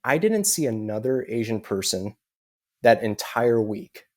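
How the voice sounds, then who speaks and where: A man's voice: mid-range voice, big pitch swings, measured pace, a little mellow. An American man telling a personal story as a podcast guest, in an earnest way and with lots of "you know" fillers. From United States. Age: adult with children.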